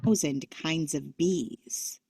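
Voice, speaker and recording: Lady narrating a kids fact book, super slow n careful, husky mellow voice, lots of up and down. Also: sits low, dry recording. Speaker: narrator of a children's nonfiction book. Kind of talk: scripted educational narration.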